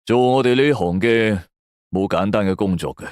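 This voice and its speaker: A man's voice: lowish voice, normal speed, a little mellow, no room sound, clean studio sound, close to an everyday voice. A man voicing a stern, world-weary veteran police detective in an audio story, terse and knowing. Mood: grave, weary.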